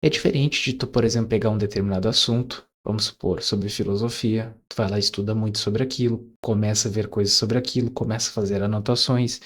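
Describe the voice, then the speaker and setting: A man's voice: on the low side, steady tone, fast, hardly any pauses. Rambling young man musing. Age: 20s. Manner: rambling, many fillers and self-corrections. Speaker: YouTuber. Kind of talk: casual reflective monologue.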